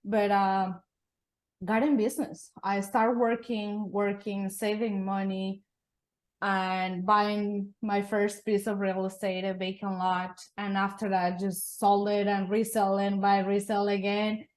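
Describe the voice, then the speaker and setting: Woman, earnest interview guest, average pitch. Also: flat delivery, slow, a little mellow. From Mexico. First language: Spanish. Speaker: guest, real estate investor. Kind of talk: interview storytelling.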